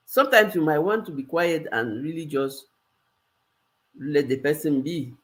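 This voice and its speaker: A Cameroonian-accented woman coaching her viewers in a live stream. She speaks earnestly, circling back and repeating her key phrases for emphasis. Her tone is that of a life coach giving advice. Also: fairly low pitch.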